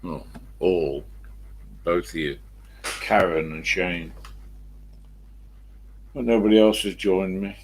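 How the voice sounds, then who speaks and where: An elderly English man with a croaky smoker's voice, rambling casually to viewers on a live stream and answering his own questions. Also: low voice, fairly even intonation, slow, pauses often, low-quality recording. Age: 70s or older. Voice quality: croaky, husky smoker's voice with a cough.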